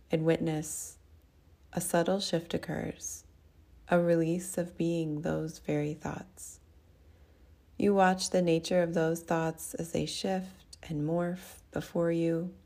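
A woman speaking as a meditation teacher, gently guiding a practice in a soothing, unhurried way. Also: deep voice, vocal fry. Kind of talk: guided meditation.

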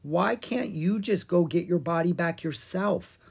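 A reader doing a cautious young girl dragon, husky. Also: medium pitch, unhurried, darkish tone, pitched above the performer's own voice. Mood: puzzled, polite. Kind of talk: animated children's storybook character reading.

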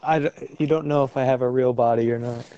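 A man's voice: medium pitch, steady tone, fast talker, dark tone. A man joining a casual podcast chat, joking in a dry, understated way. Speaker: podcast co-host. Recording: dull lo-fi audio.

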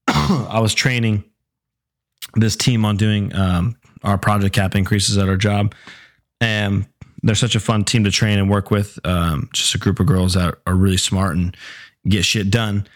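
A young man talks loosely into his podcast mic, laid-back and easy, swearing now and then. His voice sits a little low and sounds hoarse and worn, as if his throat is giving out. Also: fairly even intonation, measured pace. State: sore throat, losing voice. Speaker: podcast host. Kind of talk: casual solo podcast chat.

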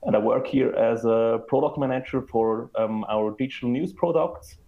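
A man from Switzerland, a guest on the podcast, answering interview questions in a measured way with some fillers. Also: medium pitch, gravelly, highs cut off. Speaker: guest, product manager.

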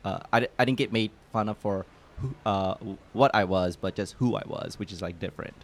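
A man's voice: lowish voice, fairly quick. A man co-hosting a podcast in casual chat, rambling and laughing through his own jokes. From San Jose, California. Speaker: podcast co-host.

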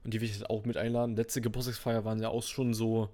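A man's voice: fairly low pitch, little pitch movement, fast talker, a little mellow. Laid-back young man, slangy chat. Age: turning 19. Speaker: podcast co-host. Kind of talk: casual chat.

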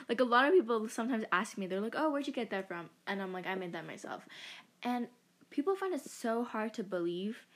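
A woman's voice: on the high side, animated, brisk, fairly bright tone. A teenage girl hosting her first podcast, chatting in a casual, self-mocking way and often giggling. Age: teens. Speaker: podcast host. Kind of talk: casual chat.

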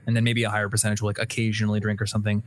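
A young man hosting a podcast, chatting in a relaxed, candid way about his college years. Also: lowish voice, rapid-fire, a little hiss or hum. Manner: relaxed, candid, casual swearing. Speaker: podcast host. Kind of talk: casual live-stream chat.

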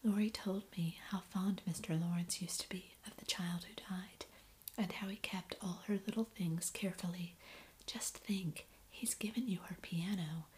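A woman's voice: fairly low pitch, steady tone, moderate pace, a little mellow, lightly acted, rough recording. Spirited tomboy sister, whispered by a woman. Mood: soothing. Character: lively spirited teenage girl Joe.

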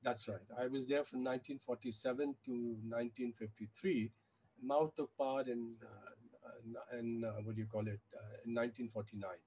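An older man over some background noise. He answers as an interview guest, haltingly, feeling his way through recollections and correcting himself as he goes. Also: mid-range voice, fairly even intonation, mellow, poor audio. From India, with childhood years in China and the US. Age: 70s. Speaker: interview guest, activist and former journalist. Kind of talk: reflective interview conversation.

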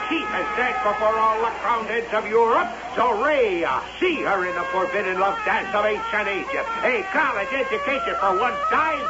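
An actor playing a brash carnival sideshow barker in an old-time radio drama, declaiming insistent, repetitive patter. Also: male voice, very high-pitched. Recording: muffled vintage radio, loud music behind.

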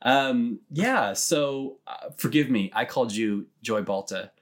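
A man's voice: medium pitch, slow pace. A man from California hosting a podcast, talking in an easygoing way with frequent chuckles and hesitant fillers. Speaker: podcast host. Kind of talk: casual interview chat. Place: lives in Ireland.

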